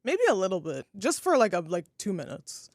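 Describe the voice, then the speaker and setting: A woman's voice: mid-range voice, very animated, a little fast, a little mellow, no echo, studio quality. A young adult woman co-hosting a casual podcast, chatting with lots of filler words. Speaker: podcast co-host. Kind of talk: casual chat.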